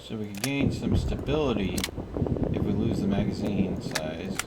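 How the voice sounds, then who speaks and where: A man with a low voice gives casual commentary as he streams a video game. He thinks aloud as he plays. Loud game ambience runs underneath him. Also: expressive, normal speed, very noisy.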